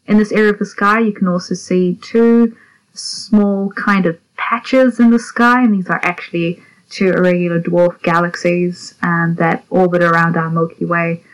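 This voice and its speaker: A woman's voice: on the low side, fairly even intonation, moderate pace. A woman from South Africa presenting a guide to the night sky. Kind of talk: astronomy sky guide narration. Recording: dull lo-fi audio.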